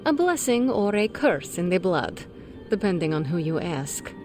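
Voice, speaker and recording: A woman playing a composed, wry mutant superhero with a measured manner in a video game drama. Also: on the low side, very animated, a little mellow, soft background music.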